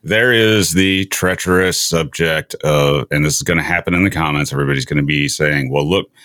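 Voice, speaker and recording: A man hosting a casual science interview, musing aloud in a measured way. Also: rumbling low, bright, forceful tone, dry recording, clean studio sound. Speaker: show host, interviewer.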